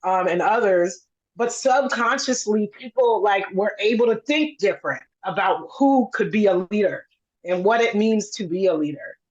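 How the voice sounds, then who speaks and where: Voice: mid-range voice, animated, moderate pace, highs cut off. A person in their forties on a video call, chatting in a friendly, rambling way with many fillers. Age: 40s. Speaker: campaign supporter on a livestream. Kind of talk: casual video-call chat.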